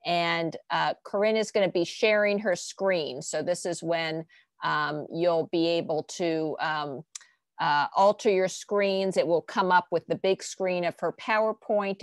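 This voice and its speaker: A woman's voice: deep voice, dry recording, studio quality. A woman hosting a virtual art reception over a video call, moderating in a friendly, organized way. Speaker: host.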